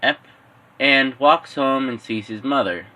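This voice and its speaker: Halting male storyteller with a forceful voice. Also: mid-range voice, poor audio. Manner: halting, repeats and rephrases phrases as he goes. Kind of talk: improvised fan-fiction narration.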